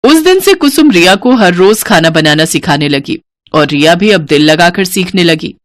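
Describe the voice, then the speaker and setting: Animated woman storyteller, very lively pitch. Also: normal speed, dry recording. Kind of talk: animated scripted story narration.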